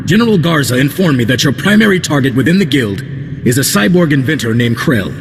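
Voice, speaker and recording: A man playing a respectful, dutiful corporal in a video game, briefing with crisp military formality. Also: medium pitch, big pitch swings, fairly quick. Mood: dutiful, serious. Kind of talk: video game cutscene acting. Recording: long hall reverb, ambient vehicle noise.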